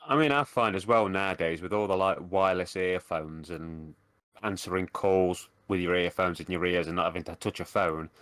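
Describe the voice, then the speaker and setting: A man with a low voice chats in a dry, matter-of-fact way. The recording is close and dry, with no room sound. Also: a bit bright, dry recording. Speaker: podcast co-host. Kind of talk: casual chat.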